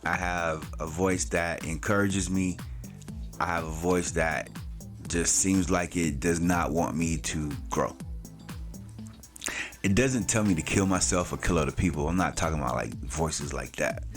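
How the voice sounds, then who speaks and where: A man's voice: low voice, a little slow, soft background music. A man in his late forties talking in a loose, slangy way, with plenty of "you know" and casual swearing, as host of his own vlog. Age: 40s. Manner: loose and slangy, swears freely, filler-heavy. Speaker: channel host.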